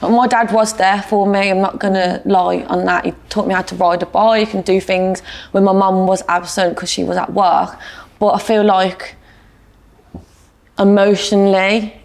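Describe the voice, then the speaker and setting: A woman's voice: mid-range voice, fairly even intonation, moderate pace, vocal fry. A woman with a British accent, a podcast guest speaking candidly and matter-of-factly about her own life. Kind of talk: personal testimony in conversation.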